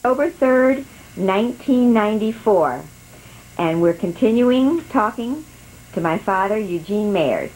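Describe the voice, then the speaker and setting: A woman's voice: medium pitch, lively intonation, a little slow, a little hiss or hum, poor audio. A woman interviewing her father at home for a family oral history, encouraging him with questions. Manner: encouraging, asks warm follow-up questions.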